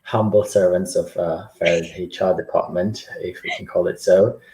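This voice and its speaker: A man's voice: low-pitched, fairly even intonation, normal speed, mellow, roomy sound, low-quality recording. A man from Ukraine on the HR team, chatting casually with dry, self-deprecating humor. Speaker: HR team member. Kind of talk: casual chat.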